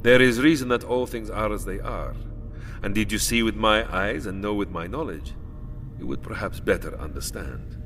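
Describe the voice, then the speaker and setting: An aristocratic vampire count, cavernous reverb. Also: male voice, on the low side, fairly bright tone. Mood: courtly warning. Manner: formal, grandiose, deliberate phrasing. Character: Count Dracula, aristocratic old male vampire, courtly and menacing. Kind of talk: audio drama acting. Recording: long hall reverb, music underneath. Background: music.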